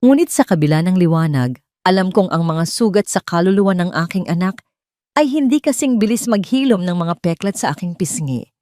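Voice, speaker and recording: Low-voiced woman narrating a drama, dry sound. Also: expressive, a little fast, warm tone, dry recording. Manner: solemn, sentimental storytelling. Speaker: audio story narrator. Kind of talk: dramatic first-person scripted narration.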